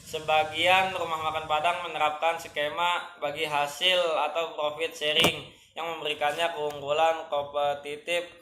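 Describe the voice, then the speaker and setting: A young man from Indonesia, a high school student in his late teens, reading a school report aloud in a stiff, recited way. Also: little pitch movement, normal speed, background noise. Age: late teens, young adult.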